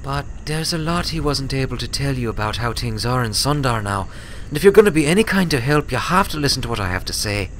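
Man voicing a smug, animated young filly. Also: medium pitch, fast talker, few pauses, a little hiss or hum, voice pushed up, lightly acted. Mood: grudging, serious. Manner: smug, matter-of-fact, explanatory. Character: smug, serious young filly pony, proud heiress.

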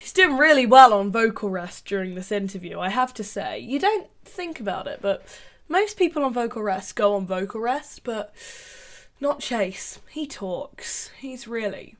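A young woman speaking into a desk microphone in a small bedroom. Her commentary is dry, deadpan and sarcastic, with a voice sitting slightly high. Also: normal speed, soft background music. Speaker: YouTube commentator. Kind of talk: sarcastic commentary on a reality show. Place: small bedroom with colored lights. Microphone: large desk microphone.

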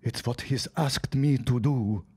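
A man's voice: medium pitch, unhurried, darkish tone, slightly rough. An actor playing a troubled, remorseful immigrant husband and reluctant henchman in an audio drama, speaking in a formal, melodramatic, lamenting way. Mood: remorseful, weary. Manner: melodramatic, lamenting, formal old-fashioned phrasing.

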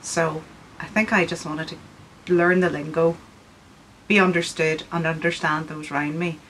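Low-voiced woman with Northern Irish accent. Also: steady tone, a little slow, a bit bright, slight background noise, rough recording. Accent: Northern Irish with faint Scottish traces. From Scotland. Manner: friendly, patient, step-by-step explaining. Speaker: artist leading a workshop. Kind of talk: instructional craft tutorial. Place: indoor room with storage shelves.

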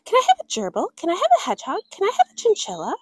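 A woman's voice: very high-pitched, wildly swooping pitch, very fast, no echo, pitched above the performer's own voice, exaggerated voice. A woman voicing an eager little girl who pleads insistently, in a storybook read-aloud. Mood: eager pleading.

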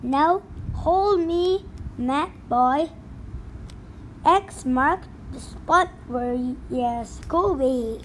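Woman doing a pirate bus captain, high and very slow, all arrs and slang, big talk but a scaredy-cat. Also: expressive, slight background noise, heavily acted, rough recording. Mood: hearty. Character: blustering pirate captain turned bus driver, boastful but easily scared. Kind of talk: storybook read-aloud.

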